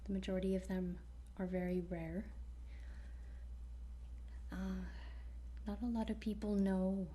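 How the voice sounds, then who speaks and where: Slow whispering woman in ASMR roleplay. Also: medium pitch, little pitch movement, slight background noise. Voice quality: soft, breathy whisper. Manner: hesitant, pausing between short phrases. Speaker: ASMR roleplayer playing a dragon training guide.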